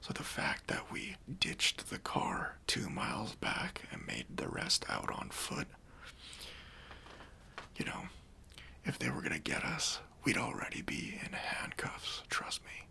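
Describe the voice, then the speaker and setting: A man playing a kindly, reassuring bank robber in a soft, breathy ASMR roleplay, speaking gently and casually to an accomplice. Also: deep voice, wildly swooping pitch, slow pace, full character voice. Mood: soothing, reassuring. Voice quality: breathy, near-whispered.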